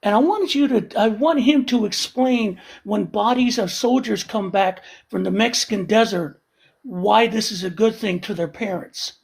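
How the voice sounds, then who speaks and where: A man's voice: high voice, normal speed, creaky, slightly rough. A man on a live podcast, ranting heatedly and with profanity about the news. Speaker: regular guest. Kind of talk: casual live-stream chat.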